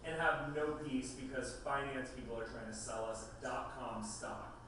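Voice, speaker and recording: An actor at a table read playing a chatty, indignant man telling a dinner story with dramatic, drawn-out emphasis. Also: medium pitch, a bit bright, close to an everyday voice. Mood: indignant, storytelling. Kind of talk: acted dialogue read from a script. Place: echoey room, table read. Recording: very echoey room, distant mic, noisy.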